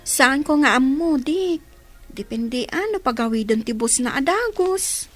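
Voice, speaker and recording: A woman's voice: high voice, expressive, a little slow, a bit bright, soft background music. A Filipino woman playing a chatty aunt looking after a child in a radio drama.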